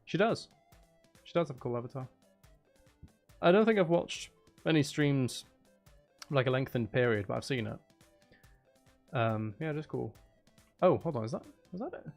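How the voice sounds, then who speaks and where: Creaky-voiced man streaming a game. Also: mid-range voice, lively intonation, moderate pace, pauses often, soft background music. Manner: talks to himself, muttering and exclaiming. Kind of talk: casual livestream gameplay commentary.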